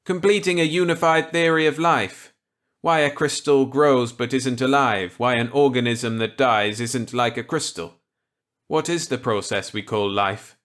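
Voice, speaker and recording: Man acting a breezy, wry scientist, pitched up. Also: measured pace, fairly bright tone, close to an everyday voice. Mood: earnest, assured. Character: genial, wry, pipe-smoking scientist, sly and unrepentant.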